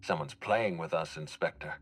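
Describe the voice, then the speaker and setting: An actor playing a formal, guarded executive in a video game, speaking in a measured, courteous and dryly stern way. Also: male voice, rumbling low, fairly bright tone, a little hiss or hum. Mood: grave, suspicious. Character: formal, guarded male executive overseeing hotel and orchards. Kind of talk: dramatic video game dialogue.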